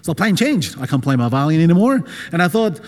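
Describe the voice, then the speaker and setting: A man's voice: a little high, very animated, a little fast. Non-native English preacher, man, in reverberant hall. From Taiwan, moved to Australia as a child. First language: not English. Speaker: guest preacher. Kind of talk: informal personal sermon. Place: large reverberant room with a gathering.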